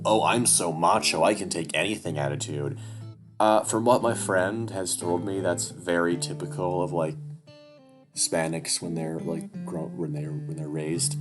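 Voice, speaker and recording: A man reading a story game aloud and commenting on it, swearing often and restarting his sentences. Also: low-pitched. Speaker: gamer playing a story game. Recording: music playing clearly behind.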